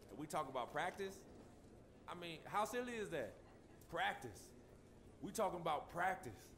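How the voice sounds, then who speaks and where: Brash young basketball star. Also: male voice, fairly high pitch, fairly bright tone, a little hiss or hum. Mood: incredulous, mocking. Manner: brash, dismissive, slangy. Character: cocky young pro basketball star at press conference. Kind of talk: acted press conference answers for sports game.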